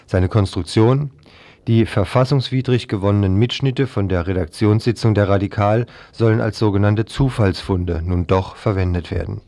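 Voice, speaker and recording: A man's voice: lowish voice, moderate pace, dark tone, dry recording. A man presenting scripted news as a radio presenter. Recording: dry 1990s radio recording.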